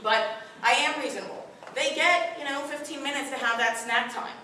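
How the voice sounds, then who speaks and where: Middle-aged woman, strong voice, firm and direct talk to a crowd, fairly high, very echoey room heard from far off. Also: normal speed, bright, forceful tone, slight background noise. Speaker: parenting workshop speaker. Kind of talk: addressing a live audience. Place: room with audience.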